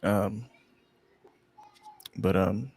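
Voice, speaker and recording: A man co-hosting a podcast in casual chat, rambling, low-key and sleepy-sounding, often losing his train of thought. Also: steady tone, drawn-out words, a little mellow, slight background noise. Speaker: podcast co-host.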